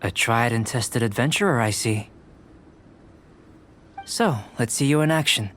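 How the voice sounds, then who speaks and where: An actor playing a calm, scholarly young male alchemist in a video game, speaking in measured, formal and eloquent phrases. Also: mid-range voice, slight background noise, natural voice. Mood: composed, instructive. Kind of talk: scripted video game character dialogue. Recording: game music behind the voice.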